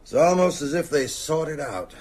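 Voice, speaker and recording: A man's voice: on the high side, animated, moderate pace, darkish tone. An actor playing a sociable, shrewd older small-town man in an audio drama, conversational and probing. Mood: probing, uneasy. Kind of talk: naturalistic audio drama dialogue.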